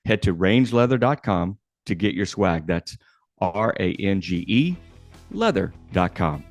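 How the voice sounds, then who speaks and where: A man's voice: deep voice, animated, measured pace, soft background music. A man co-hosting a casual podcast, reading an ad spot and setting up questions in a playful way. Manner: brief backchannel, playful setups. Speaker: podcast co-host. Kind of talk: casual chat and read ad spot.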